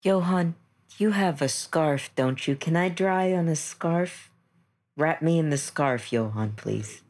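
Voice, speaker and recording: Woman playing a timid, slow-spoken bird lady. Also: low-pitched, darkish tone. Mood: apologetic, pleading. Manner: hesitant, halting, apologetic. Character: large bird woman, timid, polite, apologetic.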